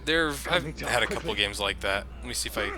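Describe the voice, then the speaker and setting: A man's voice: fairly low pitch, animated, fast talker. A man hosting a video game playthrough with casual, rambling, conversational commentary. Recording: clearly noisy background.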